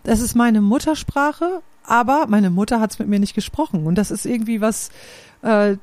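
A woman's voice: a little high, moderate pace, a little mellow, no echo. Reflective woman, dry close sound. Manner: reflective, searching for words with many fillers. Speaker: podcast host and singer. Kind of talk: casual conversation.

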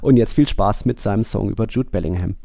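A man's voice: lowish voice, fairly quick, gravelly. A man hosting a football podcast, talking casually and informally in a chatty way. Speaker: podcast host.

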